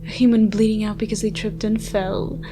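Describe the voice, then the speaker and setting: A woman playing a haughty, sardonic ancient vampire with a soft side, speaking in wordy mock-formal lines in a dramatic ASMR roleplay. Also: medium pitch, little pitch movement, normal speed, close to an everyday voice. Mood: gloating, amused. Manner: theatrical, wordy, dry mock-formal speech. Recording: music behind the voice. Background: music.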